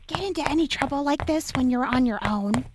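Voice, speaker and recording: A woman's voice: sits high, fast talker, noisy background, natural voice. An actress playing a bookish, sarcastic, blunt young woman on the run in an audio drama. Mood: skeptical. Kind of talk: scripted post-apocalyptic audio drama acting.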